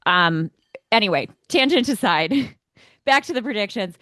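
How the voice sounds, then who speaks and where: A man's voice: high voice, animated, unhurried, pushed and bright, dry recording, clean studio sound. An American man co-hosting a motorsport podcast, giving opinions in a casual, emphatic, conversational way.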